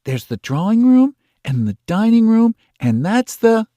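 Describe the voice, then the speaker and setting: A kind, eager little girl pipes up in a high, light voice, as a male narrator puts her on. Her tone rises and falls in wide, lively sweeps. The sound is close and dry. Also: measured pace, no room sound.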